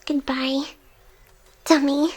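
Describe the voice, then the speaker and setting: A woman voicing a devoted, teasing female AI hacker in an intimate ASMR roleplay, soft and tender. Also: sits high, level tone, takes it slowly. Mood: bittersweet.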